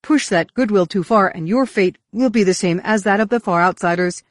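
A woman's voice: mid-range voice, unhurried, no echo, studio quality, pitched above the performer's own voice, close to an everyday voice. A woman voicing a pompous, defensive male alien zealot in an audiobook, haughty and protesting. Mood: indignant.

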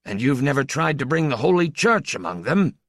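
A man's voice: medium pitch, sing-song, a little fast, dry recording, clean studio sound, voice pushed up. A man voicing a haughty pot-bellied stranger priest who speaks sharply and demands answers.

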